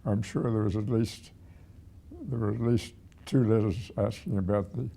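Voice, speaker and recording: Very old man, husky and dark-voiced. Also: fairly low pitch, fairly even intonation. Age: 100. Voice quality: husky, aged voice. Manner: halting, with repeated words and pauses. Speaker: retired museum director, award recipient. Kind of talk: reminiscing.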